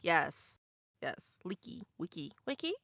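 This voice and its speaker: A woman with a bright, clear voice and a lively pitch. She speaks slowly as she plays a tabletop game on a video call, and her close, dry sound has no room echo. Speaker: tabletop player. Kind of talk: in-character improv play over video call.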